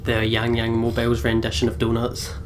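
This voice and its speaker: A man's voice: mid-range voice, completely flat delivery, a little fast, noisy background. A man co-hosting a let's play, chatting casually with joking commentary on the game.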